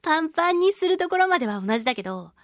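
A woman with a high voice hosts a solo radio talk show in a close, dry sound. She swings widely in pitch as she reads out mail and reacts to it. Her manner is chatty, giggly and playfully over-the-top. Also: very animated, very fast, a bit bright, no room sound. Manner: playful, gushing, with exaggerated pleading.

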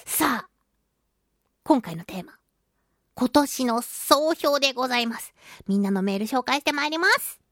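A woman hosting a solo radio talk show, chatting and reading out listener mail with animated, playful reactions. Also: high-pitched, normal speed, long pauses, dry recording, studio quality. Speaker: radio show host, voice actress.

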